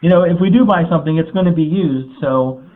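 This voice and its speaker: A man who works as an automotive journalist, answering interview questions in a relaxed, informative way. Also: fairly high pitch, quick pace. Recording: tinny phone line.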